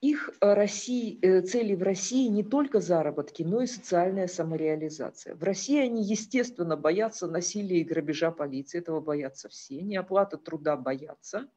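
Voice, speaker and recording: A woman's voice: fairly low pitch, moderate pace, few pauses, warm tone. A woman giving a lecture with slides, talking earnestly with frequent hesitations and fillers.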